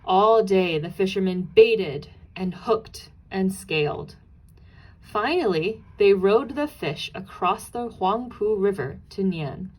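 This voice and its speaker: A woman reads a children's story aloud at a slow, deliberate pace. Her pitch is middling, and she leans expressively on key and repeated words for dramatic effect. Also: animated, poor audio. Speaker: storybook narrator.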